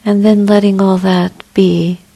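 A woman meditation teacher leading a guided meditation in a soft, breathy, gentle voice, unhurried and with long pauses. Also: medium pitch, little pitch movement.

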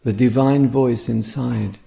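A man guiding a meditation as a teacher, speaking gently and contemplatively in short phrases separated by pauses. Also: mid-range voice, fairly even intonation, creaky. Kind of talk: guided meditation talk.

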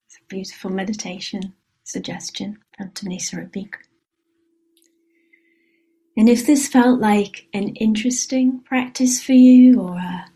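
A woman whose mother tongue is Hebrew guides a reflection after meditation in a retreat hall. Her voice is mellow and breathy. She speaks softly and without hurry, and often ends a thought with a quiet "yeah?". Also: mid-range voice. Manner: soft, unhurried, often adds a questioning "yeah?". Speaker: meditation teacher.

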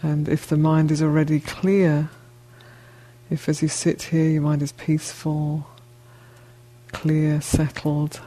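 A woman meditation teacher with a soft-edged, mellow voice and very little rise or fall. She speaks slowly and gently, leaving long pauses between short phrases as she guides the listener. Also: sits low, flat delivery, low-quality recording.

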